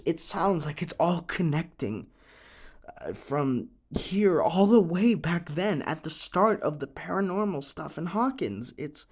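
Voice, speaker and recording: A man playing a rattled young American small-town conspiracy believer in an audio roleplay, speaking earnestly in a halting, stammering, rambling confession over the radio. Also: a little high, darkish tone.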